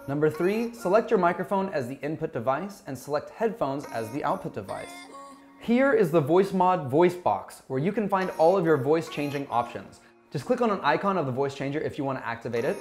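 A man in his thirties with a slightly high-pitched voice narrates a software tutorial. He addresses viewers in an upbeat, scripted presenter style, with music playing behind him. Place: small bright office, indoors. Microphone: lapel mic. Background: background music.

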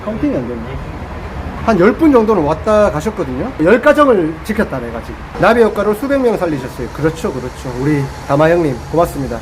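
A man talks fast and playfully, his high voice leaping up and down as he jokes with his viewers. He is filmed outdoors on a phone held at arm's length. Steady outdoor noise carries behind him. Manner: playful, animated, joking to viewers. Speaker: vlogger. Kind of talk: casual outdoor vlog chat. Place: outdoors under a roof by a roadside rest area.

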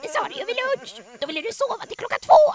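A woman voicing a squabbling, excitable little monkey in a cartoon fan dub, creaky and whiny. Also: extremely high pitch. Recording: noisy track.

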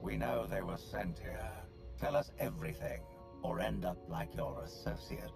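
An actor voicing a cold, threatening male enforcer in a video game, deliberate and menacing. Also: deep voice, very animated, creaky, over music, exaggerated voice.

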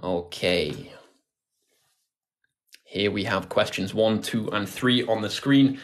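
A man's voice: lowish voice, takes it slowly. A man in his twenties with a British accent, hosting a tutoring livestream in an informal, chatty way and speaking directly to viewers. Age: 20s. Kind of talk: casual livestream chat, answering viewer questions. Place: indoors, close to a phone on a tripod.

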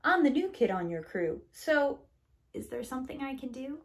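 A woman acts out a plucky young girl truck from a picture book, eager to help. The voice is high and bouncy, earnest and full of exclamation. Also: big pitch swings, moderate pace. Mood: eager, hopeful.

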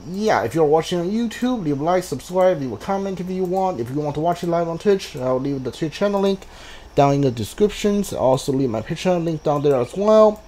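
Higher-pitched man streaming a video game. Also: darkish tone. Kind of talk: casual gameplay commentary. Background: video game music.

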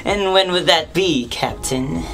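Woman acting scornful, low-voiced jeering prison woman. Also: measured pace, a bit bright, faint music behind. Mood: mocking. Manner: mocking, theatrical. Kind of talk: amateur student radio drama acting.

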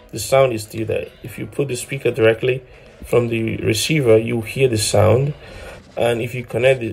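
A man's voice: medium pitch, creaky, a little mellow. A man in his thirties giving an informal hands-on tutorial as host, explaining step by step and often repeating words as he goes. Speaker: host of an electronics DIY channel.

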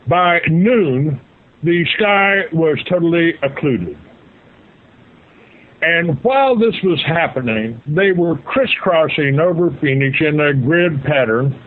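Loud-voiced male radio host. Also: on the high side, expressive, takes it slowly. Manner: loud, carrying, salesman-like storytelling. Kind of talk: talk radio storytelling. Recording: lo-fi radio broadcast sound.

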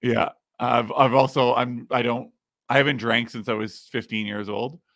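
A man in his thirties, slightly raspy, hosting a casual podcast and explaining things with plenty of fillers, recorded in a home studio. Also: medium pitch, level tone, moderate pace, a bit bright, no room sound. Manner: explains while screen-sharing, many fillers. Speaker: podcast host. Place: home studio with neon sign.

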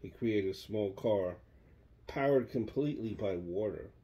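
A man's voice: fairly low pitch, unhurried, mellow, slightly rough. A man in his thirties, a father reading a children's book aloud at bedtime. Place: small child's bedroom. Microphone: phone held close.

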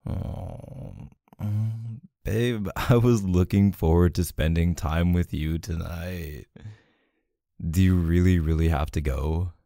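A man's voice: sits low, slow pace, creaky, warm tone, no room sound, studio quality, heavily acted. A man playing a possessive, sulky, protective young boyfriend in an intimate ASMR roleplay, murmuring hesitantly. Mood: reluctant, disappointed. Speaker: roleplay voice actor.

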